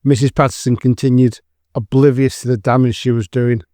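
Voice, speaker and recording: A man's voice: mid-range voice, flat delivery, fairly quick, warm tone, no room sound. A man reading scripted dramatic narration as the narrator of an audio drama.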